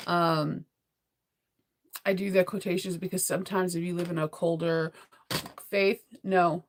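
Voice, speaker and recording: A woman's voice: fairly even intonation, unhurried, a little hiss or hum. A middle-aged American woman with a congested, cold-affected voice, chatting casually and ramblingly as a sewing vlogger on a livestream. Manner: rambling, giggly, conversational; sleepy, low energy.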